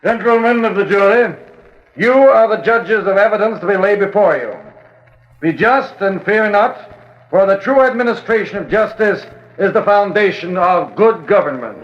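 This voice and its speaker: A solemn, stern judge from a 1940s radio drama. The voice is high and declaims formally. The vintage recording is muffled. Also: male voice, animated, moderate pace. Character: stern, solemn male judge charging a jury. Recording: dull old radio recording.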